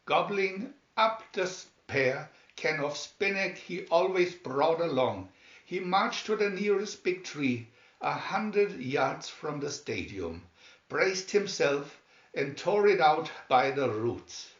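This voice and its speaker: Man, storybook narrator, very lively highish pitch, unhurried, roomy dull recording. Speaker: storybook reader. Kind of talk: animated scripted children's story narration.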